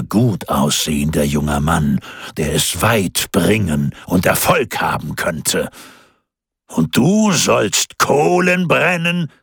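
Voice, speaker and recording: A man voicing a giant, menacing male forest spirit who talks slowly in a deep, rumbling voice. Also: gravelly, breathy edge, no room sound, clean studio sound, exaggerated voice. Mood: coaxing. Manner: deep, rumbling, slow and threatening.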